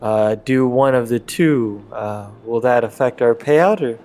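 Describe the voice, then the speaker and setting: Man, mid-pitched, hesitant, halting delivery, casual improvised roleplay, tabletop game player. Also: dark tone.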